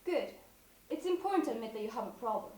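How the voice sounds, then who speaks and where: A woman's voice: on the high side, lively intonation, fast, lightly acted. A woman acting an imperious, expensive professional organizer in a one-act stage comedy, speaking in a curt, commanding, precise way. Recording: echoey stage from far off, noisy.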